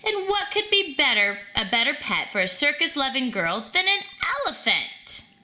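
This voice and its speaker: Woman storybook reader for young children, higher-pitched and very lively, expressive delivery. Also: normal speed. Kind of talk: children's story read aloud.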